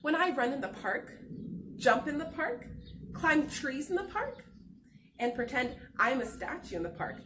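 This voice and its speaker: A woman voicing an excited, curious and affectionate young son in a picture-book read-aloud. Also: sits high, unhurried, background noise. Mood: earnest, wondering.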